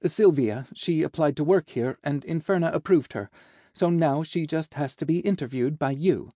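Man voicing a chirpy female secretary, lifted pitch. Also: normal speed, dark tone, dry recording, studio quality. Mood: cheerful, businesslike. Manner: chirpy, matter-of-fact. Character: cheerful, efficient young female secretary, quick and teasing. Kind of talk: audiobook character dialogue.

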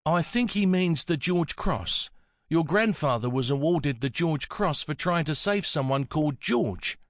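Man putting on a soft, high, kindly old lady. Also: expressive, unhurried, no echo, studio quality. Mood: gentle, helpful. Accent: Antipodean. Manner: fond, coaxing, chatty. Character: kindly, affectionate elderly woman, brisk war veteran.

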